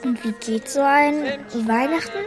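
Young orphan girl, high pitch, spirited, determined, children's radio play, music behind. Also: measured pace. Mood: curious.

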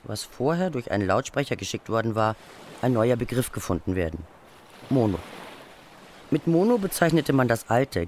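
Male documentary narrator with lively, varied pitch. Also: a little hiss or hum. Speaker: feature narrator.

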